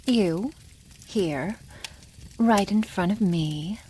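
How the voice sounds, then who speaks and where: A woman voicing a haughty, possessive female dragon in an ASMR roleplay, teasing and condescending, often dropping toward a breathy whisper. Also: mid-range voice, drawn-out words, warm tone, a little hiss or hum. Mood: smug, unhurried.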